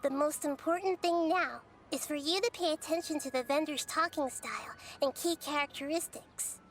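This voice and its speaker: A woman voicing a small, wise, gentle girl deity in a video game, speaking in a measured, thoughtful way. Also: high voice, slight background noise. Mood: composed, resolute.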